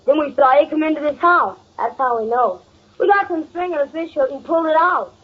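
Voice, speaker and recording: A woman's voice: sits high, a little fast, natural voice. Woman playing a piping, streetwise city kid. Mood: eager. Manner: slangy, pushy. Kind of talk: old-time radio drama acting. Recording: dull old radio recording.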